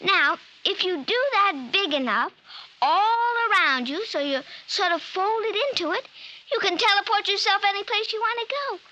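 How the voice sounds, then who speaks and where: A woman's voice: very high-pitched, animated, normal speed, pushed and bright, heavily acted, low-quality recording. A woman playing a precocious, defiant five-year-old girl in 1950s radio drama, with a childlike, matter-of-fact delivery. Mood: earnest explaining.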